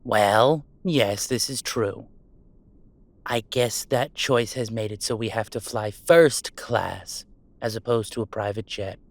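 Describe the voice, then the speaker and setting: A man's voice: lowish voice, takes it slowly, no echo. A man voicing a playful, self-deprecating werewolf streamer in a one-sided roleplay audio drama, full of teasing banter and dramatic sarcasm. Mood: easygoing. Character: wisecracking young male werewolf streamer, affectionate and self-deprecating.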